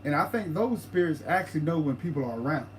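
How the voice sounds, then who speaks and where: A man co-hosting a paranormal livestream, talking casually, halting and repeating words as he explains his ideas. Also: on the high side, expressive, a little hiss or hum.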